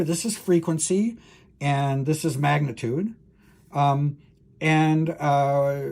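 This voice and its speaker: A male university lecturer with a creaky, husky voice talks through material informally. He is halting and thinks aloud, working step by step through an unscripted explanation. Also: fairly high pitch, a little mellow.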